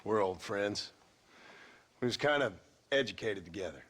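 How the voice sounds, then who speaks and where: An actor playing a blunt, weary former outlaw in a video game, speaking tersely with a rural American Western accent. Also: male voice, lowish voice, lively intonation, normal speed, a bit bright, breathy edge. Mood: guarded. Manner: terse, plain-spoken. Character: world-weary male ex-outlaw, blunt and stubborn. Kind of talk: video game cutscene acting.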